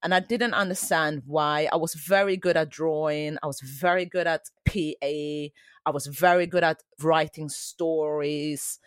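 A woman's voice: deep voice, steady tone, measured pace, smooth, dry recording, studio quality. A woman in her forties who grew up in Sweden, a dance teacher answering interview questions in an earnest, reflective way.

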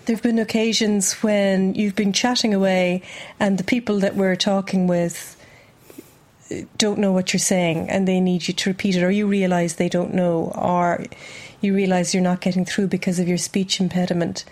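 A woman speaking as an old friend in a documentary interview. She talks in a measured, thoughtful way and questions her own reactions. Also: mid-range voice, flat delivery, a little mellow. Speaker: longtime friend.

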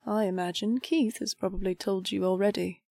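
A woman's voice: medium pitch, steady tone, moderate pace, gravelly, warm tone, no room sound. Woman acting as disillusioned young priestess, bitter, defiant, articulate, audio drama, dry close sound. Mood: guarded, defiant. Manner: formal, articulate, impassioned. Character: disillusioned nineteen-year-old priestess, defiant, grieving, bitter.

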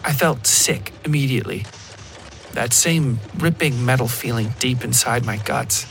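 A man's voice: medium pitch, measured pace, vocal fry, fairly bright tone. Guy narrating a horror story in first person, midrange voice, music underneath.

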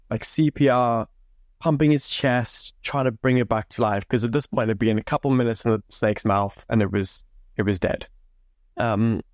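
A man's voice: medium pitch, darkish tone, no room sound. A young man from Zimbabwe hosting a podcast, telling stories in a loose, chatty way and swearing freely into a close mic. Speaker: podcast host. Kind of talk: casual storytelling monologue.

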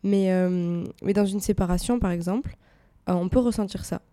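A woman's voice: mid-range voice, normal speed, dark tone, no echo. A woman podcast host with a stuffy head cold, musing aloud in a casual personal monologue with many hesitations. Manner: reflective, rambling, many hesitations. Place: indoors, air-conditioned room.

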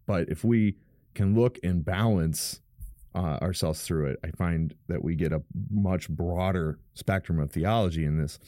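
A man with a very low, smooth voice hosts his church podcast from a home studio. His tone is dark and mellow, and the close, dry mic leaves no room sound. He talks frankly and rambles a little, often restarting his sentences. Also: no room sound. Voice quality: fairly deep, smooth voice. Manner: rambling, frank, self-correcting with many restarts. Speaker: pastor and podcast host. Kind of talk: casual podcast monologue. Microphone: studio microphone.

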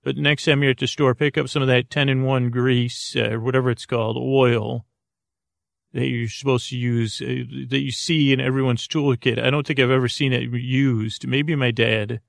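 A man with a creaky voice hosting a bedtime podcast, rambling in a halting, meandering monologue that trails off mid-thought. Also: mid-range voice, little pitch movement, dry recording, clean studio sound. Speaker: podcast host.

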